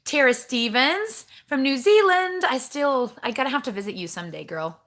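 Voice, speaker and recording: A woman's voice: sits high, expressive, normal speed, a bit bright. Bubbly chatty woman livestream host. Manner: chatty, bubbly, reads out viewer names. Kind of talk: casual livestream chat. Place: home kitchen.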